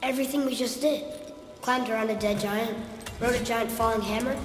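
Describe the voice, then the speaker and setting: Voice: mid-range voice, steady tone, brisk, music in the background, lightly acted. A performer playing a curious, plucky young boy in a video game, inquisitive and eager. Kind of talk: video game dialogue acting.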